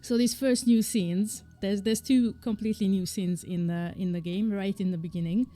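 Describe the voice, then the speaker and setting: A woman's voice: medium pitch, steady tone, normal speed, warm tone, faint music behind. A woman from Hungary, an indie game developer, chatting casually on a livestream with frequent fillers and light laughs. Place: home, living in the UK.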